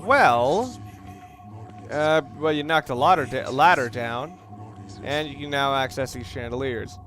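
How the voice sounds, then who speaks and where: A man's voice: medium pitch, animated, unhurried, fairly bright tone. Wry male gamer commentating over music. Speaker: let's play commentator. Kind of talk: casual game walkthrough commentary. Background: game music and sound.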